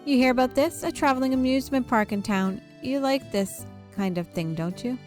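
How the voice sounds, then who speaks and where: A woman's voice: sits high, brisk, a little mellow. Woman reading a game story over music. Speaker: video game streamer. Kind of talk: reading visual novel text aloud with casual asides.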